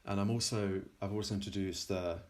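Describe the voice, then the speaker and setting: A man presenting his own software in an informal technical demo, halting and often pausing mid-sentence. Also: lowish voice, fairly even intonation. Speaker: plugin developer presenting a demo.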